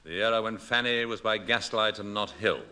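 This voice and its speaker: A man's voice: on the low side, moderate pace, very noisy. A man hosting a comedy radio show with wry, mock-formal scripted introductions, on an old recording.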